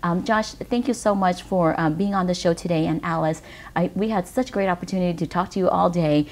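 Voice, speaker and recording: A woman's voice: fairly low pitch, fairly quick. An American woman hosting a community TV talk show, chatty and upbeat, using lots of "you know"s. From United States. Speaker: TV show host.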